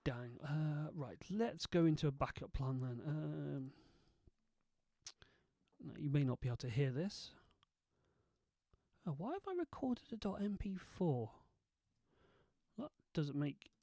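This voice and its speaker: A man hosting a video, casually thinking aloud as he tests software and mutters asides to himself. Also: a little high, sing-song, slow, pauses often, no room sound, studio quality. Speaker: video host testing software.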